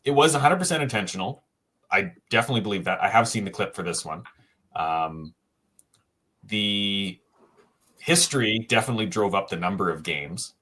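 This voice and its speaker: A man co-hosting a casual sports podcast, thinking aloud with frequent pauses and restarts. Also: on the low side, lively intonation, sharp tone. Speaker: co-host, hockey writer.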